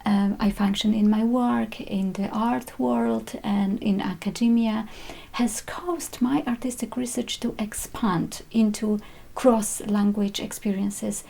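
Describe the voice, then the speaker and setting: Woman, artist, reflective, hesitant pauses, dark mellow tone. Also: mid-range voice, steady tone, vocal fry. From Poland. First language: Polish. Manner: measured, with frequent hesitations. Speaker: visual artist. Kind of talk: reflective talk on her art practice.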